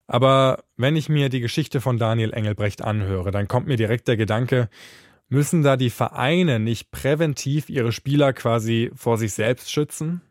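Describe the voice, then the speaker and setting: A man's voice: mid-range voice, normal speed, no echo. Man hosting a podcast, dry close sound. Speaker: podcast host and reporter. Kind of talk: scripted podcast narration and commentary.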